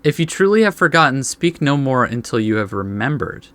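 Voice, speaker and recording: A man's voice: mid-range voice, big pitch swings, brisk, fairly bright tone. A man reading game text aloud as a let's play host, adding laid-back asides.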